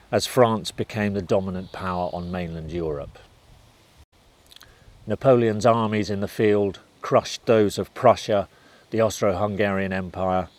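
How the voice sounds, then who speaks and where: A man's voice: lowish voice, a little mellow. A man reading scripted narration for a historical documentary.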